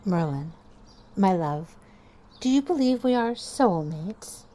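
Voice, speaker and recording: Woman as a mellow, loving ghostly wife. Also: medium pitch, expressive, slow pace. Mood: coaxing. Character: dead wife's ghost, loving, serene and eerily persuasive. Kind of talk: horror audio drama acting.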